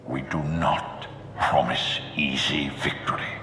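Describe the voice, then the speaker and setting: An actor playing an imposing, grave armored Sith lord ghost in a video game, slow and commanding with a gravelly rasp. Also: male voice, steady tone. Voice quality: very deep, gravelly, breathy rasp at phrase ends. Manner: slow, solemn, commanding proclamations. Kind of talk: dramatic video game voice acting. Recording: cavernous echo under loud music.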